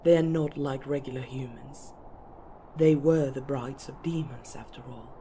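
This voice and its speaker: A woman playing a composed, commanding eldest elf warrior in a fantasy roleplay, speaking in a stern, formal, measured way. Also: very deep voice, fairly even intonation, warm tone, slight background noise, poor audio. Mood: cautioning. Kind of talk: fantasy audio roleplay acting.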